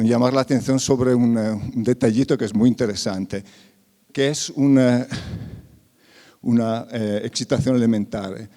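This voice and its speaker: A man giving an academic plenary lecture, halting and full of fillers and self-corrections. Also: mid-range voice, warm tone. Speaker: invited lecturer. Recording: reverberant lecture hall.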